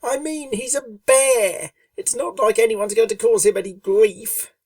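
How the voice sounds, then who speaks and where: A woman voicing a brash, impatient young female pegasus pony who complains loudly and whines. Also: on the high side, unhurried, no room sound, studio quality, far from the mic, pitched above the performer's own voice. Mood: irritable. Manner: whiny, loud, complaining.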